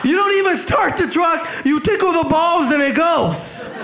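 A man's voice: extremely high pitch, normal speed, a bit bright. A young man from El Salvador doing a brash, crowd-working stand-up set on a club stage, holding a handheld mic. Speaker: stand-up comic. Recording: echoey club with crowd noise.

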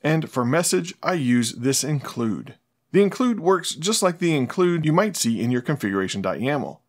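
Middle-aged man narrating a tutorial. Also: mid-range voice. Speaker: host of a smart home tutorial channel.

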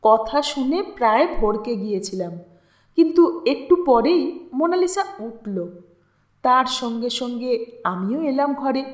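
High-voiced woman reading a story, slowly. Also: very animated. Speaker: audio story narrator. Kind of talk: expressive literary story narration. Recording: roomy, echoing sound.

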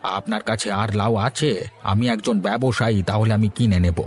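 A man's voice: fairly low pitch, fairly even intonation, normal speed, faint music behind, close to an everyday voice. A man playing a confident, well-informed village businessman in a cartoon. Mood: businesslike. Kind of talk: cartoon voice acting.

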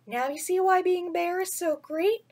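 A woman voicing an eager, cheeky, playful young jungle boy from a storybook, excitable and insistent. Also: very high-pitched, moderate pace, voice pushed up. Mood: excited.

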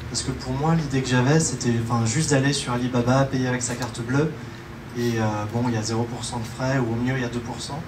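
A man with a middling pitch asks a casual question from the audience. He is some way from the mic, and the hall echoes strongly. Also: fairly even intonation, brisk. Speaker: audience member asking a question. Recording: distant, echoey hall, room noise.

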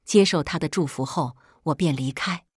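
A woman reading an audiobook as a scripted, solemn narration in a husky voice. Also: low-pitched, moderate pace, no room sound, clean studio sound.

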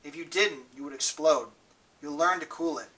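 A man reading aloud and voicing a gentle, scared sixteen-year-old boy who is badly hurt and speaks weakly, haltingly. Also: fairly high pitch, recorded from a distance. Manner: weak, quiet and halting.

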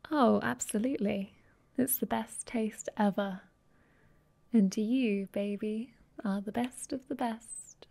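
Slow, creaky, soft vampire girlfriend, acted by a woman. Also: medium pitch. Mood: adoring. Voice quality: soft, breathy, often whispered. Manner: slow, tender, teasing. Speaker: ASMR roleplay performer. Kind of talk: intimate ASMR roleplay. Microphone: close microphone.

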